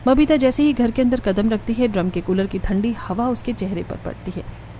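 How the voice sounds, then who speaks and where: A woman's voice: fairly high pitch, normal speed, warm tone, slight background noise. A woman narrator reading a scripted moral story for a cartoon.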